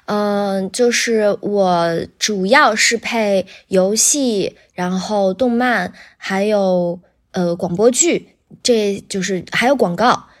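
A woman chatting casually as a guest, telling about her work as a voice actor with animated storytelling. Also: medium pitch. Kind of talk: casual interview chat, recounting a career.

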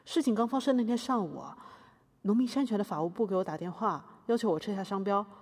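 A woman's voice: medium pitch, natural voice. A woman playing an indignant, sarcastic witness in a scripted mock trial, full of rhetorical jabs. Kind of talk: scripted audio drama reading. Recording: long hall reverb.

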